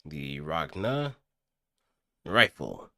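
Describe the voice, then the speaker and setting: A man voicing a cocky anime mecha pilot, delivering battle lines in a dramatic, drawn-out way. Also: very deep voice, big pitch swings, sharp tone, no room sound, studio quality, heavily acted. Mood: showy, intense.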